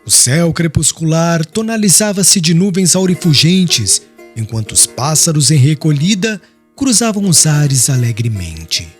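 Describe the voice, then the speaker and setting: A man reads dramatic audiobook narration in a solemn, literary style. His delivery is slow and mournful, with big swings in pitch. Music is clearly audible beneath his voice. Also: sing-song.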